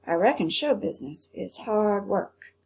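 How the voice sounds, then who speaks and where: A woman's voice: mid-range voice, lively intonation, unhurried, gravelly, darkish tone, slightly rough, exaggerated voice. A woman voicing a folksy, familiar storybook diner waitress. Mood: breezy, curious.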